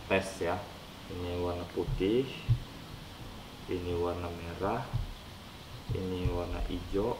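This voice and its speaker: A man with a stuffy nose in a slow, low casual vlog. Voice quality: nasal. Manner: rambling, hesitant with many fillers. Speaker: unboxing vlogger. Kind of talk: casual unboxing chat. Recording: echoey room with clear background noise.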